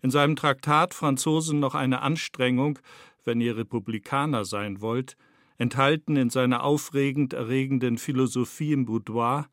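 A man reading a scripted essay as a radio narrator, with measured, rhetorical literary phrasing. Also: medium pitch, fairly even intonation, fairly bright tone, no room sound, clean studio sound. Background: music with guitar.

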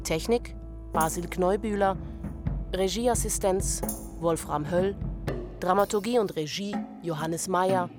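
Announcer, female, lowish pitch, scripted radio credit read over music. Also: slow pace. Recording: music behind the voice.

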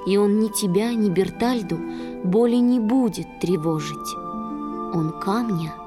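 A woman playing a gentle, sorrowful young water-spirit wife in a poetic children's audio play, speaking softly and earnestly in measured verse. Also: medium pitch, music in the background, close to an everyday voice. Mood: tender, earnest.

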